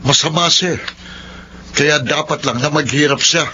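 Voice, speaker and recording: An unforgiving young Filipino man in radio melodrama. His voice is highish and sharp, sullen and indignant, on a dull, faintly noisy recording. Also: unhurried. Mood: bitter. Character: resentful, unforgiving young son. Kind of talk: Filipino radio drama acting.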